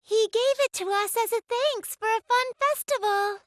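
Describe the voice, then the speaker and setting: A woman voicing a small, excitable, bubbly little girl with a childlike, eager manner in an English anime game. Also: extremely high pitch, moderate pace, no echo, studio quality. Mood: excited, cheerful. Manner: childlike, eager, sing-song.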